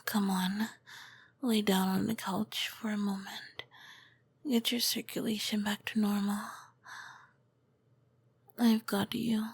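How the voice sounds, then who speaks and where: A woman voicing a charming, commanding vampire queen in a slow, breathy, seductive whisper for an intimate ASMR roleplay. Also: mid-range voice, little pitch movement, no room sound, full character voice. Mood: apologetic, tender.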